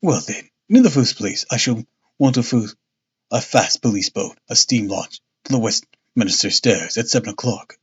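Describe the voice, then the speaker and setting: A man acting gentleman detective, self-assured, amused, authoritative, audiobook dialogue, dull recording. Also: mid-range voice, animated, moderate pace, breathy edge. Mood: commanding. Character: famous gentleman detective, confident, amused, commanding.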